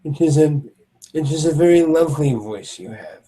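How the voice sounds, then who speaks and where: A man voicing a huge, gentle male troll knight who speaks with formal, soft-spoken courtesy. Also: a little high, animated, moderate pace, close to an everyday voice, low-quality recording. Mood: courtly.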